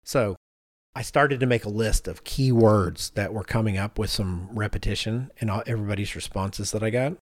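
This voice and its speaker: A man's voice: lowish voice, moderate pace, no echo, studio quality. A man hosting a conversational podcast, talking informally and thinking aloud as he goes. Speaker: podcast host.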